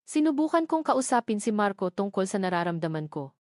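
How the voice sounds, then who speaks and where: A woman's voice: mid-range voice, very animated, brisk, darkish tone, dry recording, clean studio sound, close to an everyday voice. A woman voicing a lonely, despairing young mother confiding in her journal, in a sorrowful story narration. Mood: lonely, sad.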